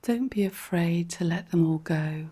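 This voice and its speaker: A woman reading a scripted guided relaxation in a calm, measured, instructional way, with a soft, breathy voice. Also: on the low side. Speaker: guided meditation narrator.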